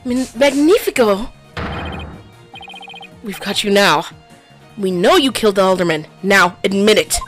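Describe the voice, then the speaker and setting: A woman's voice: mid-range voice, expressive, unhurried, pauses often, soft background music. Woman voicing an upbeat young female lawyer. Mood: triumphant. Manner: cheering, bright.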